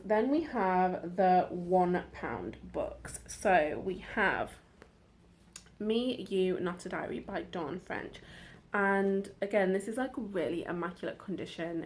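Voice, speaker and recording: A woman's voice: on the low side, animated, takes it slowly, darkish tone, slight background noise. A woman from the United Kingdom, a book vlogger in casual chat, talking through her finds in a chatty, rambling way full of side notes and fillers.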